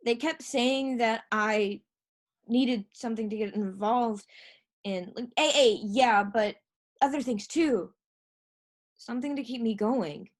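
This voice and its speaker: A woman playing an earnest, insecure young aspiring writer in a stage play, with a halting delivery. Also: on the high side. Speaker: stage actor. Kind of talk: theatrical one-act stage play acting.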